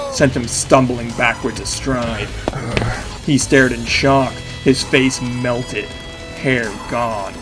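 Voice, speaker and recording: A man's voice: mid-range voice, close to an everyday voice. An actor playing a battered vigilante who narrates his own story in intense, clipped phrases, in the style of an audio drama. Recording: music behind the voice. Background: dramatic music.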